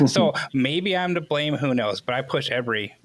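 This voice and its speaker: Lively, bright-voiced man hosting. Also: fairly high pitch, slow pace. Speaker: podcast host. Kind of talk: casual interview chat.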